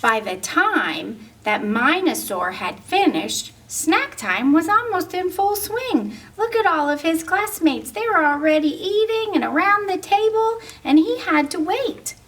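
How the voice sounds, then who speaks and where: A woman reading a picture book aloud to children, animated and pausing to ask her young listeners questions. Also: very high voice, far from the mic. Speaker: story reader.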